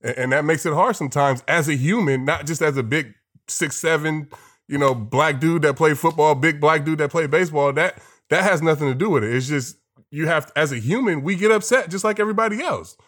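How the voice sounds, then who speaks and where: A man with a slightly raised pitch talks in a loose, laid-back way during a casual chat. His phrasing wanders and circles back on itself. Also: brisk, fairly bright tone. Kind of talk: casual conversation.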